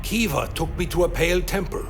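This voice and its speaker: An actor playing a brooding undead vampire warrior, narrating his own journey in a solemn, measured way for a dark fantasy radio play. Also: high-pitched, animated, vocal fry. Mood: grave. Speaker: narrator and protagonist. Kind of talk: scripted first-person dramatic narration. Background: music.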